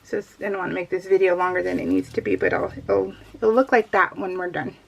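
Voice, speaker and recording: A woman's voice: on the low side, lively intonation, fast, vocal fry, slight background noise, rough recording. A middle-aged American woman chatting casually and informally as she narrates her craft project, in a rambling, self-deprecating way. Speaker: crafting vlogger. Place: indoors at a craft table, voiced live while working.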